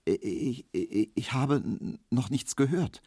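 A man's voice: lowish voice, sing-song, moderate pace, a little mellow, husky, no room sound, pitched above the performer's own voice, full character voice. A man doing shy young barber guy, meek, stammery, dry sound. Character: timid young male barber.

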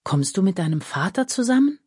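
A woman's voice: mid-range voice, very animated, brisk, darkish tone, husky, no echo, studio quality. A woman reader voicing a bewildered, upset mother in a storybook, puzzled and questioning.

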